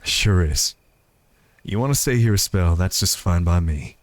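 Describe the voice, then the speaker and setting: A man's voice: low-pitched, expressive, a little slow, dry recording, voice pushed down. A man voicing a tender, reassuring cowboy outlaw with a folksy Western drawl in a visual novel.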